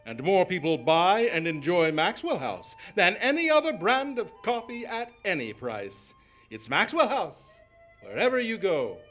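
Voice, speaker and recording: A man's voice: sits high, big pitch swings, unhurried, a bit bright, faint music behind, exaggerated voice. A man playing a polished, enthusiastic 1940s-style radio announcer, declamatory and emphatic. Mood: smooth, selling. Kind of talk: 1940s radio advertising and narration.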